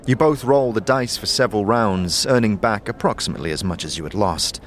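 A man's voice: fairly low pitch, a little fast, slight background noise. A man narrating a scripted fantasy audio drama in the second person, as a storyteller.

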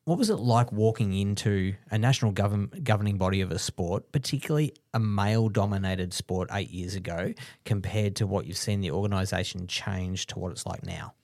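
A man co-hosts a podcast interview, recorded dry and close. His voice sits somewhat low. He adds thoughtful, encouraging comments before he leads into his questions. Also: fairly quick, a little mellow, no echo. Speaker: podcast co-host. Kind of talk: casual podcast conversation.

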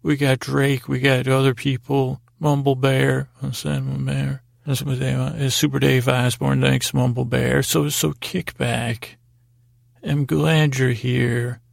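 Gravelly, creaky man rambling soothingly. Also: mid-range voice, little pitch movement, dry recording, clean studio sound. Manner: meandering, halting, with pregnant pauses; lulling, soothing. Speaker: podcast host. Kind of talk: rambling bedtime podcast monologue.

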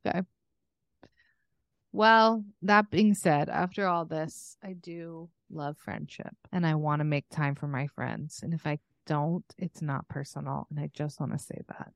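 Low-voiced woman in rambling, casual chat. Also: steady tone, measured pace, vocal fry, no room sound, studio quality. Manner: informal, rambling, thinking out loud. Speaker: podcast co-host. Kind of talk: casual unscripted chat with a friend.

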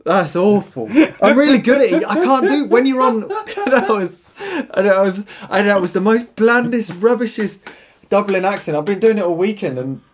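A man's voice: high voice, sing-song, normal speed. A man chatting casually about his electronics builds, rambling with many restarts and frequent laughs. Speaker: online synth builder. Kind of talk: casual podcast chat.

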